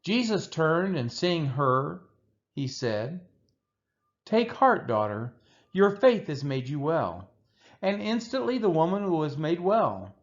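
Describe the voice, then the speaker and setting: A man reading scripture aloud as narration, with measured, deliberate phrasing. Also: fairly high pitch, muffled recording. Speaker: Bible reader.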